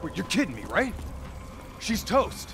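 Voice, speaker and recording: Cynical game soldier: blunt pessimist, sardonic, animated pitch, clear score behind. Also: male voice, measured pace, heavily acted. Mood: exasperated. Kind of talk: dramatic video game cutscene acting. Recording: loud music behind dialogue.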